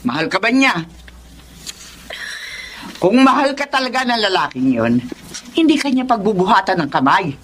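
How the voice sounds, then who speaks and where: A protective middle-aged Filipino mother, scolds in a sharp, emphatic voice. Her pitch swings widely as she probes indignantly, over faint background noise. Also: big pitch swings, moderate pace, long pauses. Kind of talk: Filipino radio drama acting.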